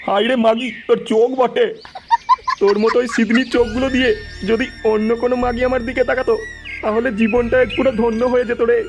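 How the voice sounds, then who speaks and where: A very high, squeaky cartoon village dad, talking fast over clear music. He is a crude, blustering middle-aged husband who scolds, laments and coaxes in exclamations. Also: little pitch movement. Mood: scornful, exasperated. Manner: exclamatory, scolding then coaxing, drawn-out lamenting. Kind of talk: comedy cartoon dialogue. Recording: music behind the voice.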